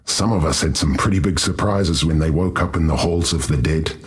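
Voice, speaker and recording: Very deep, gravelly jovial warrior. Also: male voice, heavily acted. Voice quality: gravelly, husky. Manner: hearty, boastful, teasing.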